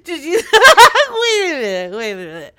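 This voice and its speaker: Flirty teasing lady in her 40s, bright voice, takes her time. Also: extremely high pitch, wildly swooping pitch, a little slow. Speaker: prank caller. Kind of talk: comedic phone prank.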